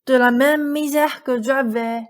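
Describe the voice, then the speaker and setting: A woman's voice: high-pitched, steady tone, measured pace. A woman playing a stubborn, grieving young Cinderella in a French radio play, with a brooding delivery. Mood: relieved, resolved.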